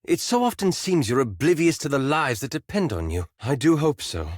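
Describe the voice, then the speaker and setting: An actor voicing an earnest, scholarly male wizard in a video game, speaking in a formal, measured and thoughtful way with some hesitancy. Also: on the high side, big pitch swings, dry recording, studio quality. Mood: concerned, formal. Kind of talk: video game voice acting, dialogue lines.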